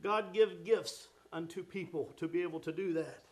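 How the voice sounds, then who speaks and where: A man's voice: high-pitched, moderate pace. A man preaching a sermon, fervent and exclaiming "oh my" and "amen" as he goes.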